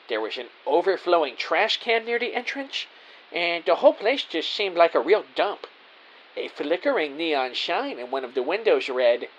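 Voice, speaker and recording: Man as earnest detective narrator, animated, slightly husky. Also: fairly high pitch, lo-fi sound. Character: earnest, naive, excitable male detective narrator. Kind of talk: first-person scripted audio drama narration.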